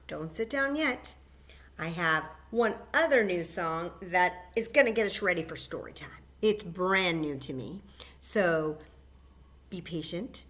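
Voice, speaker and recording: A woman librarian leading a children's story time, chatting gently and encouraging the kids as she introduces songs. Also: medium pitch. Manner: gentle, encouraging, chatty, singing simple tunes.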